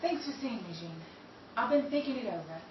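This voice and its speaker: A woman's voice: on the high side, lively intonation, brisk, echoey room, distant mic, dull audio, slight background noise, natural voice. A woman playing a bitter estranged daughter. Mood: anxious. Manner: indignant, sharp retorts. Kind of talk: 1930s radio drama acting.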